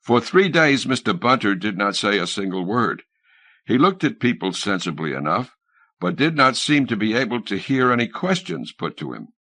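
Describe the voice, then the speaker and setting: A man's voice: fairly low pitch, sharp tone, no room sound. A man reading literary fiction aloud as a scripted audiobook narrator, recorded close to the microphone. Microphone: close studio microphone, dry sound.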